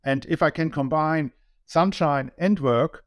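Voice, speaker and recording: A man's voice: on the high side, unhurried, no room sound, studio quality. A man in his sixties from Germany with a German accent, talking earnestly as an interview guest and often restarting his sentences. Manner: earnest, many small restarts. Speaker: guest, business owner. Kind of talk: casual interview talk.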